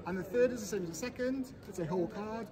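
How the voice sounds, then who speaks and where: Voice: mid-range voice, fast. A street magician doing card-trick patter for onlookers on an outdoor city street, playing with words and often checking in with "okay?". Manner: wordplay-heavy patter, keeps checking in with "okay?". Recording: street recording with a crowd nearby.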